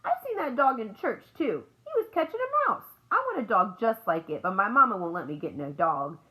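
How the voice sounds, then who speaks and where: A woman's voice: a little high, sing-song, brisk, sharp tone, pitched above the performer's own voice, poor audio. A woman voicing a wide-eyed little girl in a storybook, blunt, chatty and childish, with folksy grammar. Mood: amazed, chatty.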